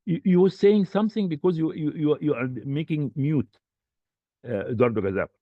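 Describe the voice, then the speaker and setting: A man chairing a webinar session who talks in a lively, repetitive way as he hands over between speakers. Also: fairly high pitch, a little fast, no echo, highs cut off. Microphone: webinar recording.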